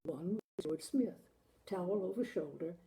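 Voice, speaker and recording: A woman narrating a short story in an expressive, deliberate audiobook style, savoring the phrasing. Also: lowish voice. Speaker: literary reader, storyteller.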